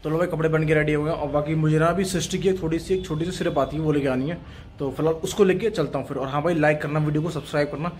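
A man's voice: fairly high pitch, fairly even intonation, very fast. A young man from India talking casually to his viewers as a vlogger, filming on a phone outdoors on a busy city street. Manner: chatty, addresses viewers as brother; shouts over a pushing crowd. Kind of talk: casual vlog chat. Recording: loud crowd and street noise.

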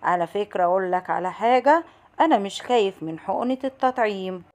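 A woman voicing a calm, brave, polite young tiger with an Egyptian Arabic accent in a children's animal show. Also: mid-range voice, a little fast, close to an everyday voice. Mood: calm, welcoming.

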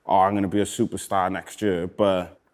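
A man in his thirties from Wales with a Cardiff accent, a rapper casually reading out comments in a relaxed, slangy way that often trails off quietly. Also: deep voice, brisk. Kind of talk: casual chat. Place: indoor studio with graffiti wall.

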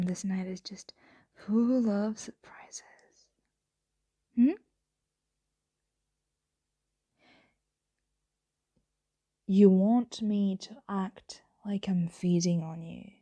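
Casting: woman to play teasing female roommate in vampire ASMR roleplay; very slow, husky, breathy half-whisper, intimate and flirtatious. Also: medium pitch. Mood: teasing, hesitant. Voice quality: soft, breathy, frequently whispering. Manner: slow, hesitant, teasing murmur.

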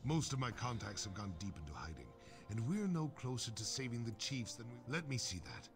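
A male actor as an earnest fantasy game ally speaks with urgency and a very lively, swinging pitch. His mid-pitched voice sits over prominent music, in dramatic video game dialogue. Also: brisk, natural voice. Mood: grave, urgent.

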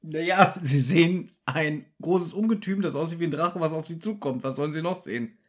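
A man's voice: a little high, brisk. A man co-hosting a podcast, casually retelling and discussing the plot of a radio play in detail. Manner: retells plot in detail, animated when joking. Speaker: podcast co-host.

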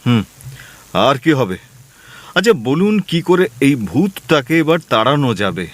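A man's voice: a little high, sing-song, moderate pace. A man playing an anxious, gullible newly married husband in a comic audio drama, hesitant and easily flustered. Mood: worried.

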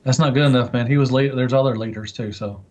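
A man's voice: medium pitch, fairly even intonation, fast, a little mellow, slight background noise. Casual, rambling man on a podcast. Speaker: podcast panelist. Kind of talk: casual chat.

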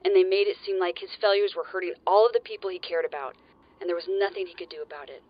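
A man's voice: high voice, steady tone, quick pace, dry recording, highs cut off, natural voice. A man playing a weary, guilt-ridden mercenary. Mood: regretful. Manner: terse, tired and stern.

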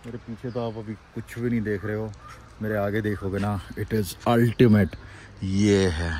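A man's voice: lowish voice, a little hiss or hum. A man in his thirties, a travel vlogger, chatting casually and exclaiming over the scenery, filming himself outdoors on a phone at arm's length. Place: outdoors on a mountain road.

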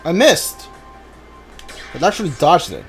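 Slow-paced man commentating over game music. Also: on the high side, huge pitch swings, a bit bright. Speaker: video game streamer. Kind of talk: casual let's play commentary, sometimes reading game text aloud. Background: video game music.

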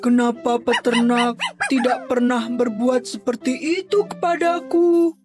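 A woman's voice: fairly high pitch, steady tone, measured pace, music in the background, lightly acted. A woman voicing an envious, plaintive, overworked donkey in a children's storybook tale. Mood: disappointed. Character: envious, sulky, overworked donkey pleading for affection. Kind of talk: children's storybook character acting.

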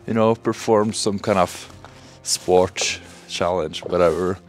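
A young man hosting a casual exploring vlog, thinking aloud with fillers, filmed on a handheld camera in a large, cold, empty building. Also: low voice. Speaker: urban explorer host. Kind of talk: casual on-location vlog talk.

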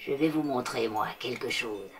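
A woman's voice: a bass voice, normal speed, far from the mic, faint music behind, voice pushed down. A woman voicing a bossy, nosy puppet in a puppet show, exclaiming and coaxing. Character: bossy, nosy hand puppet interrupting and showing off.

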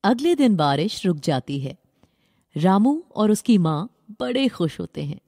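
Woman reading a kids story, soft mellow voice, really animated, close dry sound. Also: mid-range voice, unhurried, no room sound. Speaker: narrator. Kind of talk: scripted children's story narration.

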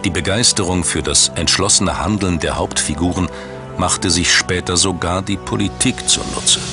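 Male documentary narrator, low register, scripted and dramatically measured, over a music bed. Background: background music.

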